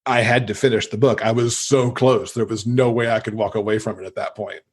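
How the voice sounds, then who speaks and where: A man's voice: lowish voice, fairly even intonation, fairly quick, husky. A man from the United States, a podcast guest telling stories casually and enthusiastically. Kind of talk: casual conversational storytelling.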